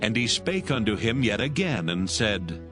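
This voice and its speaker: A man narrating scripture from a script in a measured, solemn manner. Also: fairly low pitch, big pitch swings. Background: soft music.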